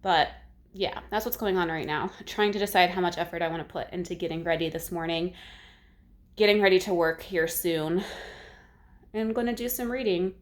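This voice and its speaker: A young woman chatting casually in a reading vlog, rambling with many fillers and sometimes dropping to a hush. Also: fairly low pitch, moderate pace. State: congested. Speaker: book vlogger.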